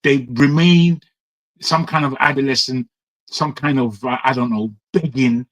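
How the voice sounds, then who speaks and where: A man's voice: medium pitch, fairly even intonation, unhurried, fairly bright tone, poor audio. A man giving opinionated talk-show commentary, emphatic, often repeating and restarting his words. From Nigeria. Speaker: panel commentator.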